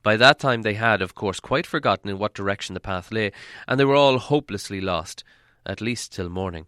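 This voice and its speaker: A man narrating a storybook from a script in a dramatic, expressive storytelling manner. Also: on the low side, a little fast, no echo, clean studio sound. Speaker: audiobook narrator.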